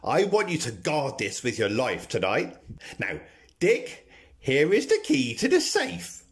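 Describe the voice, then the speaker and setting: A saucy pantomime dame, played by a man, motherly and theatrical. The pitch swoops extravagantly in cheeky radio comedy style. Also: huge pitch swings, measured pace, exaggerated voice.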